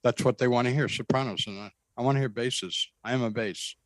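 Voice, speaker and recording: Earnest man with a bass voice. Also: a little fast, dry recording, low-quality recording. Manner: earnest, emphatic, repeats himself. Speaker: guest describing a spiritual experience. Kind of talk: conversational storytelling.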